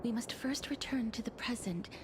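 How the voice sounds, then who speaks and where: Woman acting a breathy, whispery gentle young female. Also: fairly high pitch, moderate pace, natural voice. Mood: soft, wondering. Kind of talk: scripted video game voice acting.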